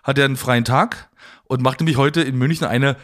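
A man's voice: medium pitch, steady tone, brisk, fairly bright tone. A man co-hosting a podcast, chatting casually and rambling with lots of restarts. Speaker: podcast co-host. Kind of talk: casual chat.

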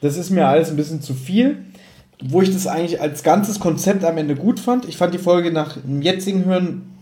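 Male podcast host, mellow higher-pitched voice, blunt casual review talk, roomy sound. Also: fairly quick. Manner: opinionated, blunt, colloquial swearing.

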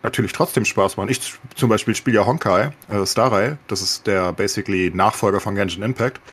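Low-voiced German man in casual chat. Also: a little fast, fairly bright tone, husky. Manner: informal, mixes English gamer slang into speech. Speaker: podcast co-host.

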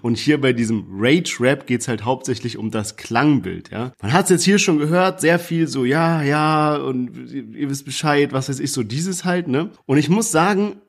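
A man's voice: medium pitch, moderate pace, creaky, hardly any pauses. A young man co-hosting a casual podcast chat, hedging his views in a slangy, easygoing way. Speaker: podcast co-host. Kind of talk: casual chat about rap releases.

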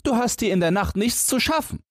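A man's voice: high voice, expressive, fairly quick, no echo, clean studio sound, voice pushed up. A man voicing a naive, fearless simpleton boy, earnest and guileless, in a fairy-tale audiobook. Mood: bold challenge. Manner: guileless, puzzled, plain-spoken.

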